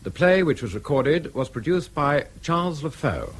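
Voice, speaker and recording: A man's voice: on the high side, expressive, moderate pace, slightly rough. A man with a received English accent reading formal scripted credits as a radio announcer. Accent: English, received pronunciation.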